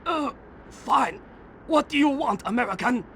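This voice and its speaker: A contemptuous game villain colonel, pitched very high for a male. The tone is sharp and forceful, clipped with bitterness and command. Faint noise runs underneath. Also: expressive, measured pace, full character voice. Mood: bitter, defiant. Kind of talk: video game voice acting.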